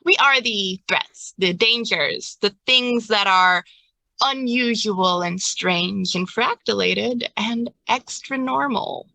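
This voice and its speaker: A woman voicing an earnest, cryptic carnival ringmaster in a tabletop game, halting and ominous. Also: medium pitch, gravelly, pushed and bright, dry recording, full character voice, low-quality recording. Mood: defiant.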